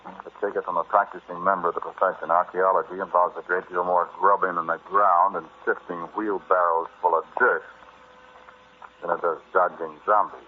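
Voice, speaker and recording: An actor playing a brash, sardonic American amateur archaeologist, talking straight at the listener in a 1940s radio drama monologue. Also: male voice, low voice, flat delivery, normal speed, phone-quality sound, lightly acted. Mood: sardonic, matter-of-fact. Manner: conversational, sardonic, dismissive, addressing the listener directly. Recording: noisy, band-limited 1940s radio recording.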